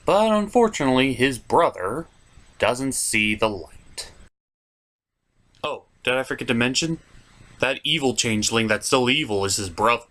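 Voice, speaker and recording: A man's voice: mid-range voice, a bit bright. Sarcastic man with wildly swinging intonation. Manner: sarcastic, theatrical. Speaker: reviewer host. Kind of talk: scripted comedic review narration.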